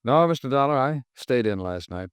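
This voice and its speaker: Man acting genial, animated hotel guest. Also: mid-range voice, clean tone, no room sound, close to an everyday voice. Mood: airy, evasive. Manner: polite, airy, measured. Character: genial, leisured male hotel guest, slightly evasive. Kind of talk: 1950s radio drama acting.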